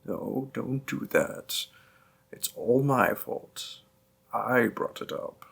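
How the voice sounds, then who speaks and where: A man playing a gentle, doting demon boyfriend in an intimate audio roleplay, speaking tenderly in a hushed, husky, creaky voice. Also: mid-range voice, heavily acted. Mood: soothing, apologetic. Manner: slow, hushed, tender, with pauses.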